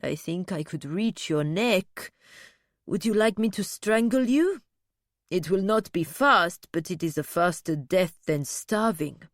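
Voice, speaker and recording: A woman narrator voicing a slow-witted, grim male captive who speaks bluntly and laboriously. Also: lowish voice, expressive, no room sound, studio quality.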